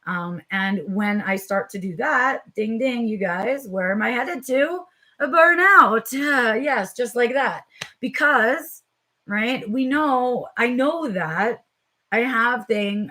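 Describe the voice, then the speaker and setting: Woman, mid-pitched, slightly raspy, tired, casual live vlog chat, lots of ums. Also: a bit bright. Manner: chatty, frequent ums, addresses viewers directly. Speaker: vlog host.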